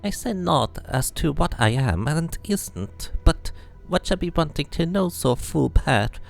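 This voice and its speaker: A suspicious old hedge witch played by a man from a fantasy game. The voice is pushed up high and swings around animatedly. She scolds and dodges questions in rustic dialect. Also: big pitch swings, a little slow, gravelly, faint music behind, full character voice. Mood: suspicious, scolding. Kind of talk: fantasy game character reading.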